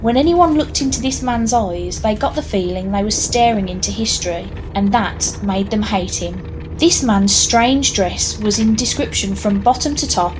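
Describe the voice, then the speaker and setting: Woman narrating over clearly audible background noise. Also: medium pitch. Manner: measured, storytelling. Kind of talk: scripted audio drama narration.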